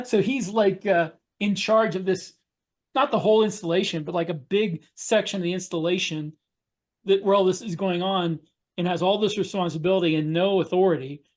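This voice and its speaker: High-pitched middle-aged man, halting delivery. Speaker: podcast co-host. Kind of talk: casual panel discussion. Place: home room indoors, on a video call.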